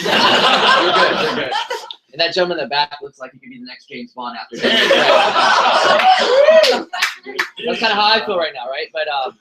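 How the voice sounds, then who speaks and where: A man's voice: on the high side, very noisy. A man, giving a motivational talk full of self-deprecating jokes. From United States. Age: 20s. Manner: joking, self-deprecating storytelling. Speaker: motivational speaker. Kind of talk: motivational speech to an audience. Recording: echoey room sound.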